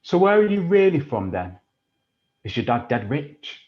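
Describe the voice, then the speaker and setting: An actor playing a rough, foul-mouthed lad who mocks and threatens, in an audio drama with a slightly dull sound. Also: male voice, on the high side, very animated, measured pace. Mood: sneering. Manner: swearing, menacing, mocking. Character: rough working-class British boy, aggressive, crude, defensive. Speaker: actor in a stage play.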